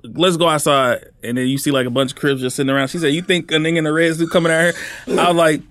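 A man's voice: a little high, fairly even intonation, fast, fairly bright tone. A man co-hosting a casual podcast, asking pointed questions and telling stories. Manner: questioning, storytelling. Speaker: podcast co-host. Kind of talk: casual chat.

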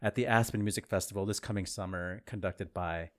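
A man co-hosting a podcast, reading scripted news items in a wry, expressive way. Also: sits low, fairly quick. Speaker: podcast co-host.

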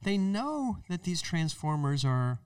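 Animated American man, slightly off-mic. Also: a little high, slow, smooth, dry recording, studio quality, far from the mic. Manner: emphatic, conversational, acts out imagined remarks. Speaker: podcast host. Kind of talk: explanatory radio-style talk.

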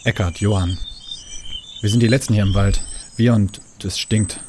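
An actor playing an odd, lonely old forester in a radio play, speaking in terse, halting, rambling phrases. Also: male voice, on the low side, fairly even intonation, noisy background, lightly acted. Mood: wistful, rambling.

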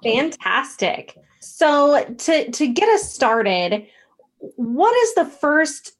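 Woman interview host, unhurried, higher-pitched, deliberate. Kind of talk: interview moderation.

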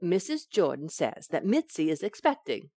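An actress playing a feisty, playful housewife in a 1940s-style radio sitcom, with wry, teasing delivery. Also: female voice, on the low side, big pitch swings, normal speed, warm tone, dry recording, studio quality. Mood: sarcastic, amused.

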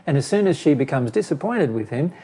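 A man whose voice keeps giving out, so it comes out husky and rough. His tone is dark and mellow, and he lays out his reading of a question in a measured way, often restarting a phrase. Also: a little high, big pitch swings. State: losing his voice, hoarse. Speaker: spiritual teacher answering a question. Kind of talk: explaining to a listener.

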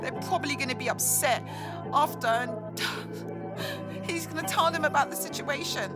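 A woman's voice: high voice, unhurried, over loud music. A young woman acting a tearful, guilt-ridden bride-to-be in a modern audio drama. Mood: tearful, guilty. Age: young adult.

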